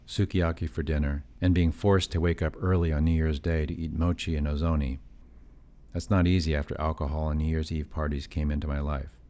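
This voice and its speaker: A man's voice: rumbling low, darkish tone. A middle-aged man from California narrating his own family story in scripted documentary style, with wry, self-deprecating asides.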